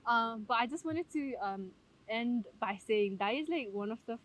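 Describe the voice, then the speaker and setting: A woman's voice: fairly high pitch, animated, moderate pace, rough recording. A woman hosting a casual interview podcast, talking in a chatty way with frequent fillers. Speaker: podcast host.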